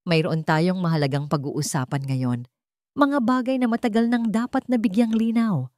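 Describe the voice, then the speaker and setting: A woman's voice: mid-range voice, normal speed, darkish tone, no room sound, clean studio sound, lightly acted. A Filipino woman voicing a stern, resolute wealthy matriarch with a firm, formal manner in an audio story.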